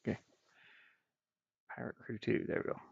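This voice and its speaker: A man with a gravelly voice rambles and mutters to himself, thinking aloud casually as he streams his game development work. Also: moderate pace, no room sound. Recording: dull, muffled, dry lo-fi microphone.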